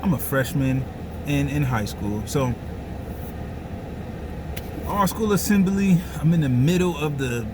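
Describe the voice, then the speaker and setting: A man's voice: on the high side, expressive, a little slow, long pauses. Man telling casual stories over noticeable background noise. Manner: rambling, repeats phrases for dramatic effect; slangy, conversational asides. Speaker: storyteller and host. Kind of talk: casual comedic personal storytelling.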